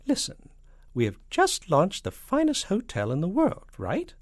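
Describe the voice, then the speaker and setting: A performer voicing a twitchy, flattering chief spy in a hesitant, excitable falsetto for a storybook. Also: a little slow, no room sound, studio quality. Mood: evasive, flustered.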